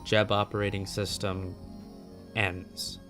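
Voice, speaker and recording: A man giving scripted analytical narration as a video essayist, speaking earnestly with deliberate, emphatic phrasing. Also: lowish voice, little pitch movement, over music.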